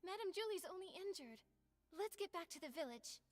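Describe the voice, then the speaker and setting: A woman voicing an earnest young female adventurer in an anime-style game, emphatic and expressive. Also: extremely high pitch, a little fast. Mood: concerned.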